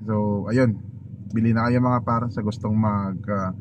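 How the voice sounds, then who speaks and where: A man with a Filipino accent chatting casually as a motovlogger unboxing gear, informal and halting as he searches for words. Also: lowish voice, fairly even intonation, dull audio. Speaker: motovlogger host. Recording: clearly noisy, vehicle and ambient noise.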